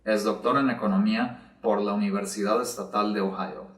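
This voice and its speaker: A man formally reading out a speaker's introduction at an academic event, in a steady, even reading. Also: fairly low pitch, normal speed. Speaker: event presenter. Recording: echoey room, distant mic.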